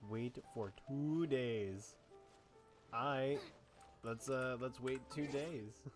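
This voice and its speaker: A man's voice: medium pitch, drawn-out words. A man giving casual, laid-back commentary while playing a video game. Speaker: gamer reacting to game dialogue. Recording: faint game music behind.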